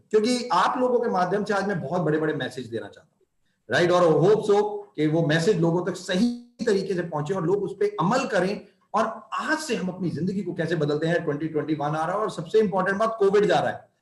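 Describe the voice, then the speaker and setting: A man's voice: high-pitched, lively intonation, fairly quick. Earnest man in an echoey room. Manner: earnest, emphatic, repeats phrases for stress. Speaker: company executive speaking to distributors. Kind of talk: motivational talk.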